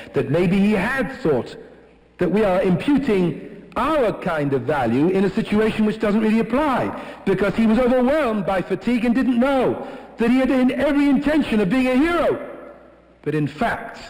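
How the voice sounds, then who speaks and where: A rabbi preaching a sermon in a tall, fairly high voice, leaning hard on key words and rising sharply for emphasis. He sounds from a synagogue where his words ring out with a long, echoing tail. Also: lively intonation, moderate pace, darkish tone. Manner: emphatic, sweeps up high for stress, quotes Hebrew texts. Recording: echoey hall, long reverb.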